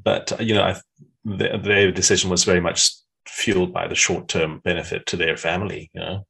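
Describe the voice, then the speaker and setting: Man, 50s, raspy fry-filled voice, candid interview guest. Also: low-pitched, level tone, fairly quick, pushed and bright, rough recording. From South Africa. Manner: frank, reflective storyteller. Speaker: podcast guest. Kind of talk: casual interview chat.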